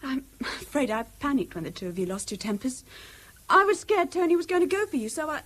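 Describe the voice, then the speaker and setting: High-voiced, anxious English schoolgirl. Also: animated, a little fast, a bit bright. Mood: nervous, apologetic. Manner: hesitant, confiding, polite. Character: earnest, troubled teenage schoolgirl, loyal and anxious. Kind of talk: British radio drama acting.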